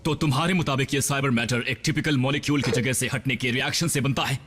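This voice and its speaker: A man playing a steady, scholarly robot scientist in a cartoon dub, with a thoughtful, measured way of talking. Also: medium pitch, close to an everyday voice. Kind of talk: dramatic Hindi cartoon dub.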